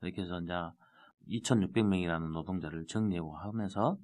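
A man's voice: low-pitched, fast, a little mellow. A man with a Jeolla accent, a city council member speaking earnestly as a guest on a local podcast. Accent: Jeolla dialect. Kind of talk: casual podcast conversation.

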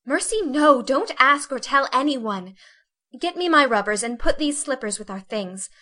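A woman voicing a delicate, complaining young lady, speaking softly and plaintively. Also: high-pitched, expressive, moderate pace, voice pushed up. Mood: fretful.

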